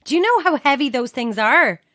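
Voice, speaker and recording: An actress with an Irish accent playing a bossy, exasperated radio producer, brisk and commanding, in an audio drama. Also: female voice, sits high, lively intonation, sharp tone, no room sound, clean studio sound. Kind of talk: naturalistic audio drama acting.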